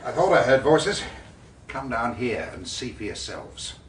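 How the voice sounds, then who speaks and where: An actor playing a brisk, impatient police investigator in a radio drama, speaking with exasperated authority. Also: male voice, medium pitch, very animated, slight background noise. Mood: businesslike.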